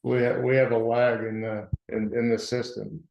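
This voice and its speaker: A town board trustee, a soft-spoken man speaking slowly and flatly at a meeting. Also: medium pitch, creaky. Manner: soft-spoken, flat, unhurried. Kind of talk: informal meeting talk. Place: meeting room. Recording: echoey, dull room recording.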